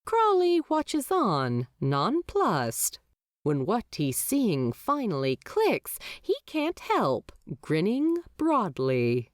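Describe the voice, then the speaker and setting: A woman's voice: medium pitch, huge pitch swings, extremely slow, dry recording, studio quality. A woman narrating a story expressively from a script, as an audiobook-style narrator.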